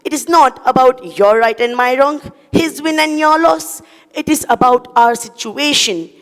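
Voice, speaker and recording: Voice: sits high, a little slow, voice pushed up. A teenage student voicing a talking cockroach that lectures humans with indignant, mocking questions. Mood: preachy. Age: teens. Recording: echoey school hall.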